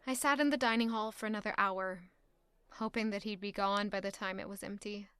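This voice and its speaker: Audio drama: actress as irritated young palace servant woman, forceful bright tone, sarcastic, guarded, stiffly courteous. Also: mid-range voice, steady tone, a little fast, clean tone, no room sound, close to an everyday voice. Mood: tense narrating. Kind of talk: audio drama acting with first-person narration.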